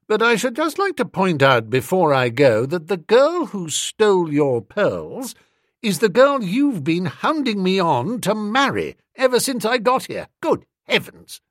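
A male reader plays a young English toff, foppish and breezy, in a comic storybook. His posh British voice rides high and leaps about with blustering emphasis, close and dry. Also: huge pitch swings, a little slow, dry recording, heavily acted. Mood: reproachful. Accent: British upper-class.

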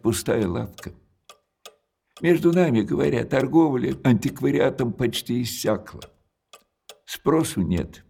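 An actor playing an elderly, widowed male junk-shop owner in a radio drama. He talks slowly and hesitantly, and his sentences trail off softly between pauses. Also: mid-range voice, vocal fry, slightly rough, full character voice. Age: older.

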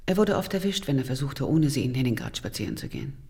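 An actress playing a composed, pointed widow of a Soviet leader in a German radio crime drama. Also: female voice, rumbling low, expressive, fast, warm tone.

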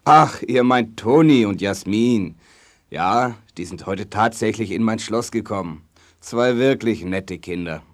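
A man's voice: lowish voice, a little slow, natural voice. A man playing a weary, kindly, somewhat bemused king in a children's audio play. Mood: puzzled, explaining.

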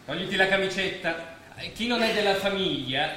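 An actor playing a brisk, officious doctor in a stage play, clipped and condescending. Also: male voice, high-pitched, expressive, bright, forceful tone. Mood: clinical, brisk. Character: brisk, officious male doctor on a house call. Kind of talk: theatrical stage dialogue. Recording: distant, very echoey, noisy stage recording.

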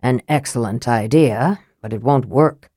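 A woman voicing a cold, sardonic young male mage who speaks dryly, flatly and curtly in a lowered voice. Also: moderate pace, no echo. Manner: dry, flat and clipped.

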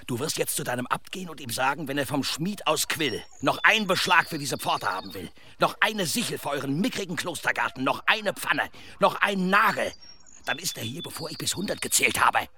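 A man in a German radio drama playing a gruff, demanding village blacksmith and worried father, brusque and impatient. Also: on the high side, animated, fairly quick, bright, forceful tone. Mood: angry, threatening.

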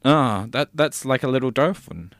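A man's voice: mid-range voice, animated, moderate pace, a bit bright, no room sound, clean studio sound. A man in a comedy podcast, playing a flustered character in an improvised sketch and stammering through his lines.

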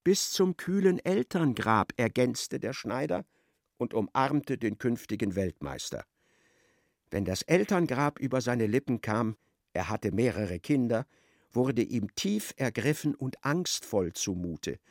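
Man, expressive radio play narrator, mid pitch, very animated, creaky edge, dry studio sound. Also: measured pace, no room sound. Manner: storytelling, expressive.

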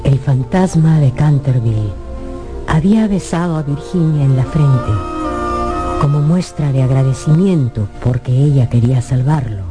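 A woman narrator telling a dramatic scripted story for radio in an expressive, measured way. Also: very deep voice. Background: music.

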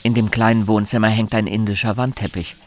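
An actor as the composed, observant narrator of a radio drama, reading scripted prose. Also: male voice, lowish voice, flat delivery, fairly quick, slight background noise, natural voice. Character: omniscient storyteller, composed and observant.

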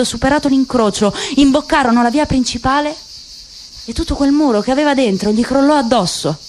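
A woman narrating a literary radio drama in a measured, expressive way. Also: fairly high pitch, a little hiss or hum.

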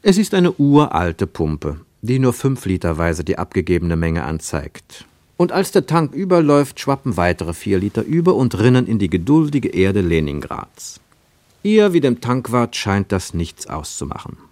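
A man reading scripted literary narration for a radio play in a measured, precise and expressive storytelling manner. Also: on the low side, dry recording.

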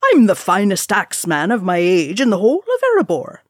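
A woman's voice: medium pitch, huge pitch swings, brisk, dry recording, studio quality, pitched above the performer's own voice. A woman voicing a young male dwarf warrior in a storybook reading, hearty, bragging and teasing. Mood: indignant.

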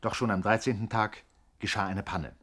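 Radio play narrator: lowish voice, sober, deadpan storytelling. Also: male voice, normal speed. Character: sober male storyteller of a mock crime chronicle.

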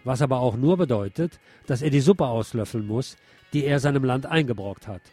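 A man's voice: mid-range voice, brisk, darkish tone, no room sound, soft background music. A man hosting a radio programme, reading press commentary and news aloud. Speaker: radio show host.

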